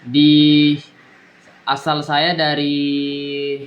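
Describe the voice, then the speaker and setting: Young man, student, halting delivery, slow pace, faint background noise. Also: a little high, monotone, fairly bright tone, rough recording. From East Nusa Tenggara, Indonesia. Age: young adult. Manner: halting, many fillers and restarts. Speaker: university student. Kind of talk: recorded exam presentation.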